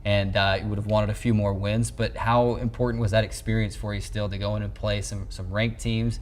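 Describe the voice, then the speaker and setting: A man's voice: fairly low pitch, flat delivery, a little fast, slight background noise. A young American man in his twenties hosting a sports interview, conversational and wordy as he builds up to questions. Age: 20s. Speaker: sports show host, interviewer. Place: small indoor locker-room set. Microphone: lapel mic.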